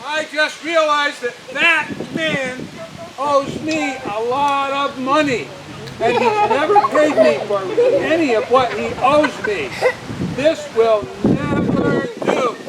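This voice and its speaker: A woman voicing a wealthy, blustering but soft-hearted puppet master in a theatrical outdoor children's show. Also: high voice, expressive. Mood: indignant. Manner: theatrical, exclaiming, deliberate. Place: outdoors at an open-air show. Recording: windy, noisy outdoor live recording.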